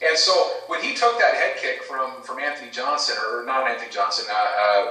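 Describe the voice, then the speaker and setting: Echoey, bright-voiced man talking fights. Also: medium pitch, a little fast. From Canada. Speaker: podcast guest, former fight manager. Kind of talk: casual sports chat. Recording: echoey, distant from the mic.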